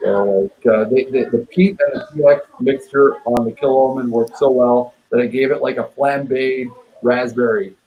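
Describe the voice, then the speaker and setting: An emphatic, opinionated man from Quebec, talking casually as a guest on a live whisky stream. His voice sits at an ordinary middle pitch, and he stumbles over repeated words when he is fired up. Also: steady tone, moderate pace, hardly any pauses, lo-fi sound. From Quebec, Canada.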